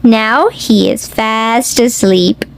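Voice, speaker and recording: A woman's voice: on the high side, extremely slow, vocal fry, noisy background. A woman reading a picture book aloud to young children in a sing-song, exclamatory way, speaking directly to them. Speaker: children's read-aloud host.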